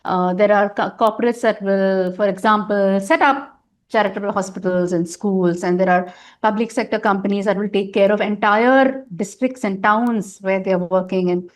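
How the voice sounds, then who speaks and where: A woman's voice: medium pitch, moderate pace. A middle-aged woman, a guest in a candid interview, talking at length with emphasis and frequent fillers. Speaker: interview guest, nonprofit governance advisor.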